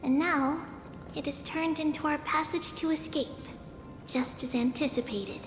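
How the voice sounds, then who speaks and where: High-voiced, composed young girl guide. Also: big-room sound, music in the background. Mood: calm, solemn. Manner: measured, knowing, earnest. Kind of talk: dramatic game voice acting.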